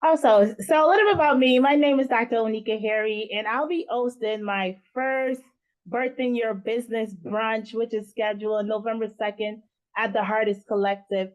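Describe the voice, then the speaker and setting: A woman from Guyana, a guest founder, talking in an earnest interview manner with pauses and fillers. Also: fairly high pitch, steady tone, poor audio. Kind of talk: casual interview talk.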